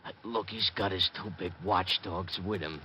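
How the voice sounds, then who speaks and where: An actor playing an anxious, slow-witted junior thug, nervous and pleading, in an old-time radio crime drama. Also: male voice, low voice, sharp tone. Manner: nervous, pleading street-tough talk. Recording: muffled, faintly noisy vintage radio recording.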